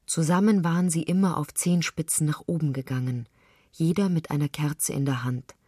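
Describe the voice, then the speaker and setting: A woman with a dark, husky voice narrates literary fiction. Her delivery is deliberate and measured, and the sound is very dry, as in a padded studio. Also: low voice, dry recording. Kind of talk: literary scripted narration, radio reading.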